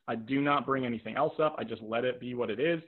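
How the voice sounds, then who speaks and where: A man leads a live video-call workshop, speaking at an ordinary pitch in a direct, practical way and circling back to repeat his key points. His voice sounds tired from a full day of talking. The audio is narrow and tinny, like a phone line. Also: fast. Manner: checks in with rhetorical "right?" and "okay?". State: voice tiring from talking all day. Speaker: private practice coach running a webinar. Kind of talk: live webinar teaching with audience questions. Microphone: video call microphone.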